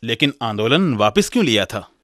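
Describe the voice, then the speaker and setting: A man plays a young adult son in a radio drama. His mid-pitched voice is closely recorded with no echo, politely probing and challenging his father. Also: sing-song, moderate pace, clean studio sound, close to an everyday voice. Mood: questioning. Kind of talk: Hindi radio drama acting.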